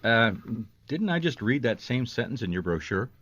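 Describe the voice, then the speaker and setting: An actor playing a polite, laconic private investigator in a radio detective drama, asking hesitant questions. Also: male voice, medium pitch, sing-song, normal speed, a bit bright, highs cut off. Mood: dry, probing. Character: hard-boiled but polite male private investigator.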